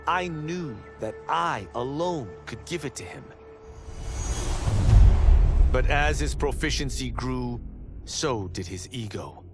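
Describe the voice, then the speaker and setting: A vain martial arts master narrates a game cutscene with theatrical self-assurance. His medium-pitched voice swings widely in tone, and music plays clearly behind it. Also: lively intonation, slow, pauses often. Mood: boastful. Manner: cocky, theatrical. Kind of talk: scripted dramatic game narration.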